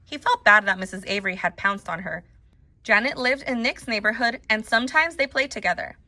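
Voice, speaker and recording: Female narrator for children's audiobook, bright forceful voice, very animated delivery, emphatic storytelling. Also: fairly high pitch, brisk. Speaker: teacher reading a book aloud.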